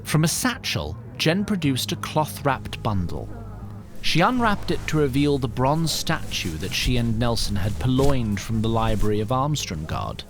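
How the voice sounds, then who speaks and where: Fantasy narrator, wry and literary, very animated intonation, faint music beneath. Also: male voice, medium pitch, moderate pace. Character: wry, formal storybook narrator of a fantasy tale. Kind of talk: scripted narration.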